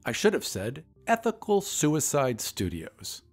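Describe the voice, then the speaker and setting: Guy doing a chirpy ancient doctor, high and bouncy, all reassuring sales talk. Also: wildly swooping pitch, measured pace, slightly rough, soft background music, full character voice. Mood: cheerfully reassuring. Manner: brightly persuasive, pitch lifting on key words. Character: cheerful ancient male doctor, smooth and upbeat.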